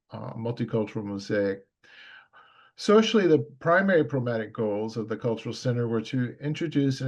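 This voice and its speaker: A man reading prepared public testimony at a government hearing, steady and measured, with frequent fillers and small restarts. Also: mid-range voice.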